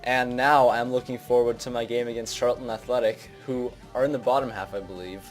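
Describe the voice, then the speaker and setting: A young man hosts a gaming video with loose, unscripted commentary. His voice sits at an average pitch and his delivery is informal, hesitant and self-deprecating. Faint music plays behind him. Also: fairly even intonation, a little fast. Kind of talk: casual unscripted game commentary.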